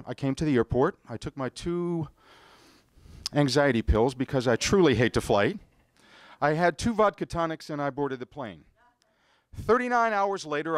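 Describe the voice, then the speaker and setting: A middle-aged man with an American accent telling a rehearsed personal story on stage, with theatrical, deliberate phrasing. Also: on the high side, dry recording. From United States. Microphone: stage microphone on a stand.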